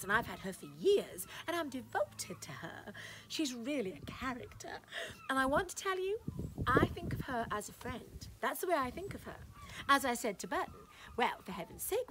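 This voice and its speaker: A woman's voice: on the high side, very animated, moderate pace, fairly bright tone, a little hiss or hum, poor audio. A woman voicing a gushing, condescending society lady at a party, breathless and fluttery, with giggles and gasps, in a dramatic short-story reading.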